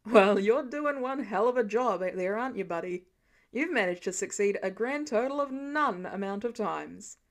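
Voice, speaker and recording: A woman's voice: medium pitch, expressive, moderate pace, voice pushed down, lightly acted. Woman playing a cocky, sarcastic superhero archer guy. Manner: sarcastic, goading. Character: cocky, teasing male superhero archer, lounging heckler.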